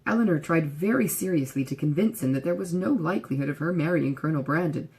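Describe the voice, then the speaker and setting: A woman reading a classic novel aloud as scripted narration, in an expressive storytelling manner. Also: low voice, fast. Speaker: audiobook reader.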